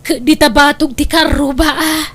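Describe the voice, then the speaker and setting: A woman playing a loud, dismissive wife with an Ilocano accent in a comedy drama, exclaiming and scoffing. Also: sits high, fairly even intonation, normal speed. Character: loud, dismissive wife planning to fix up her house.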